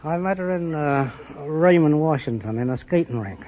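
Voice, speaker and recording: A man's voice: a little high, lively intonation, normal speed. A man from North Wales answering as a quiz show contestant, politely and a little hesitantly. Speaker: contestant, lighthouse keeper. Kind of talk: live quiz show interview. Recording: muffled old radio recording, some noise. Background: studio audience.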